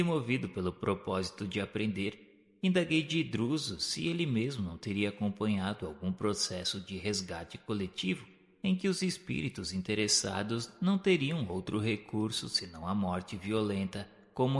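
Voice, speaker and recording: A Brazilian man narrates a spiritual audio novel in a solemn, formal, measured storytelling manner. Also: on the low side, lively intonation. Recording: long hall-like reverb.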